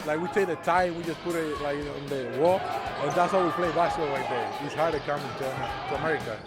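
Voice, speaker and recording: A man's voice: fairly high pitch, quick pace. A teenage boy with a Dominican Spanish accent, a basketball recruit, telling his story while searching for words. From Dominican Republic. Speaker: high school basketball recruit. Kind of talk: interview answers. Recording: loud music underneath.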